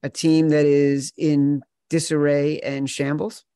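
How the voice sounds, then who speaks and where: A man's voice: on the high side, flat delivery, takes it slowly, dark tone, smooth, dry recording, studio quality. A man co-hosting a sports betting show, talking in an emphatic, playful way straight to his partner. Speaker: podcast co-host.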